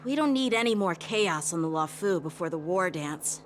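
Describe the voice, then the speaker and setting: A woman's voice: fairly low pitch, lively intonation, normal speed, a little hiss or hum, close to an everyday voice. A woman voicing a polite, earnest, self-doubting teenage boy swordsman in an anime-style video game. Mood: weary concern.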